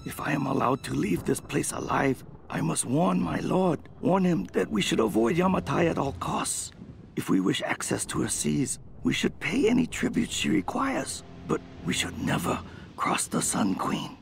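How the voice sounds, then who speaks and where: An actor playing a grave, wary ambassador and spy in a video game, reading his journal in measured, formal, dramatic phrases. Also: on the high side, very animated, creaky, slight background noise, full character voice. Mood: urgent dread. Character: grave, wary male ambassador spying at a foreign court. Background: music.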